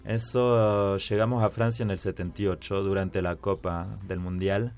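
A man with a very low voice and an Argentine Spanish accent, recalling his past in an easy, conversational way. He stops and repeats words as he searches for them. Faint music plays behind him in a radio studio. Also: flat delivery, normal speed, a little mellow, clear, resonant tone. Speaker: musician guest. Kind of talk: radio interview, personal storytelling.

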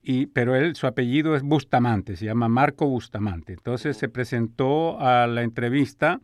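A man's voice: mid-range voice, moderate pace. A man hosting a radio interview, talking conversationally and telling an anecdote. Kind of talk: casual interview.

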